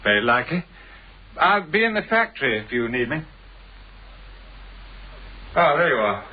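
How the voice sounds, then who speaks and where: An actor playing an eager, aggrieved but outwardly polite employee in a radio drama, with a British accent. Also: male voice, a little high, sing-song, moderate pace, slightly rough. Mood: controlled, polite. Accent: British English. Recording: dull, band-limited, faintly noisy radio sound.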